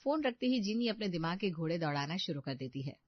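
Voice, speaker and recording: A woman's voice: lowish voice, sing-song, fast talker, no echo, highs cut off. A woman narrator reading a scripted bedtime moral story.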